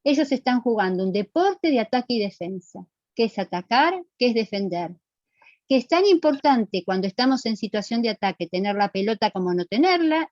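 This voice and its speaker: A woman's voice: fairly high pitch, darkish tone, dry recording, muffled recording. A middle-aged woman with a Rioplatense Argentine accent lecturing to students in a didactic, emphatic way, checking they follow with short questions. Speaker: physical education lecturer.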